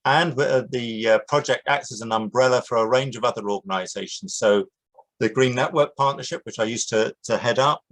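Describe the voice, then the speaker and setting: Male project director, measured talk, low-ish voice. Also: low-quality recording. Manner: steady, measured, with hesitations. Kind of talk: prepared online talk. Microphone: video call.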